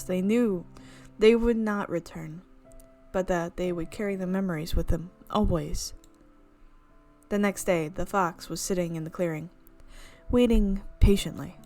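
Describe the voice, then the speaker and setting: Expressive woman reading a game aloud. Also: lowish voice, unhurried, soft background music. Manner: expressive reading with playful reactions. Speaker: game streamer. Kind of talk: reading a visual novel aloud.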